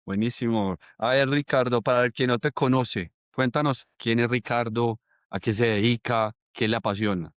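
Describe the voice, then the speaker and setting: A man's voice: mid-range voice, steady tone, moderate pace, hardly any pauses, clear, resonant tone, no echo, studio quality. A man hosting a podcast, reading an upbeat intro and chatting in a friendly way. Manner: upbeat, friendly, addresses listener directly. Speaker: podcast host. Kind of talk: scripted intro then casual interview.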